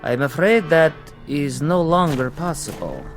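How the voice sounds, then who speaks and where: An actor playing a calm, accusing former prisoner in a vintage television drama, measured and insinuating, with mocking politeness. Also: male voice, on the high side, music in the background. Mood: quiet menace.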